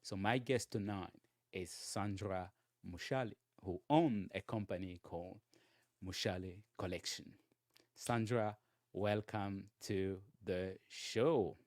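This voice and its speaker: A man hosting an interview show in a conversational way, often halting and repeating his phrases. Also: low-pitched, darkish tone, no echo, clean studio sound. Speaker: talk show host interviewing a guest.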